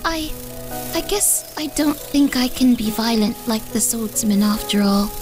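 A woman voicing a timid young village girl in a motion comic, soft-spoken and hesitant. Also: fairly high pitch, unhurried, over music, close to an everyday voice. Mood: hopeful. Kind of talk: fan motion comic dub.

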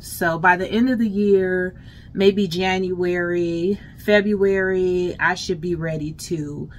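A middle-aged American woman chatting casually and emphatically to her viewers as a lifestyle vlogger, in an unhurried way. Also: fairly low pitch. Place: closet at home. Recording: clear background noise, home vlog.

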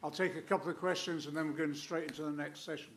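A man chairing a conference session, moving briskly through questions in a lecture hall. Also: on the high side, fairly even intonation, fairly bright tone, a little hiss or hum. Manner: brisk, hurried prompts.

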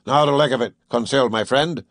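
Man playing a gruff-humored harpooner, blunt, folksy, mid-pitched, dry close sound, audiobook. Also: fairly quick, a bit bright, no room sound, close to an everyday voice. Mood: wry. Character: blunt, plain-spoken male Canadian harpooner. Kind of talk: audiobook character voice.

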